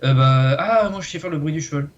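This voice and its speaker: A man's voice: on the high side, brisk. A man bantering casually and jokingly as co-host of a reaction stream. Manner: teasing, jokey. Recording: dull lo-fi mic with faint noise.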